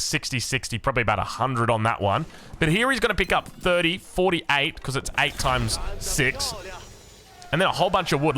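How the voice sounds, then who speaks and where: Excitable Australian game caster, slightly hoarse. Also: male voice. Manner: excitable, energetic, big pitch swings. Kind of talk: video game commentary.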